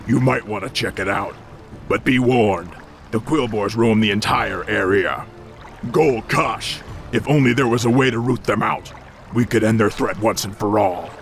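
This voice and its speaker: A man voicing a stern, commanding orc warrior in a video game, with a gravelly voice. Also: lowish voice, normal speed, a little hiss or hum. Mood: cautionary. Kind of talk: video game character acting.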